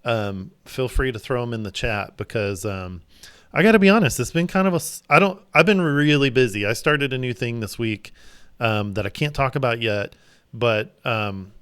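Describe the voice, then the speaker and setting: A man's voice: medium pitch, moderate pace, clear, resonant tone, dry recording, clean studio sound. A tired man co-hosting a live stream in casual chat, rambling and restarting his sentences. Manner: rambling, restarts often, relaxed and chatty. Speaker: co-host.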